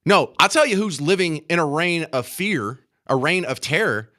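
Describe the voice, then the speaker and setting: A man's voice: on the high side, expressive, normal speed, sharp tone. A man with an American accent delivering an opinionated political radio monologue, emphatic and indignant, repeating phrases to drive them home. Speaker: radio talk show host. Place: radio studio.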